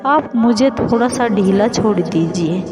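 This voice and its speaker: Woman voicing a slow-spoken clever little bird. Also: medium pitch, animated, music in the background, natural voice, rough recording. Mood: calm, instructive. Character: small clever bird, wise and crafty, advising a king.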